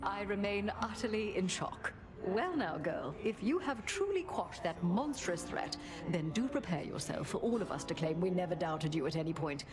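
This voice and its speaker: A woman's voice: on the low side, lively intonation, normal speed, natural voice. A woman voicing a haughty, skeptical queen of the gods in a video game, with a dry, imperious, formal manner. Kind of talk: scripted video game voice acting. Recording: guitar music behind the voice.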